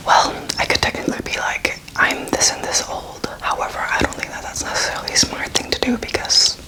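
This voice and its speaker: A woman in her twenties giving an ASMR language lesson in a whisper, casual, playful and encouraging as she teaches. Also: brisk. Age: 20s. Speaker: host teaching beginner German.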